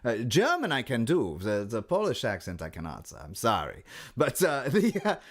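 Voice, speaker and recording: A man's voice: medium pitch, wildly swooping pitch, normal speed. A middle-aged man vlogging casually about his guitar channel, rambling and wry, with many fillers and restarts.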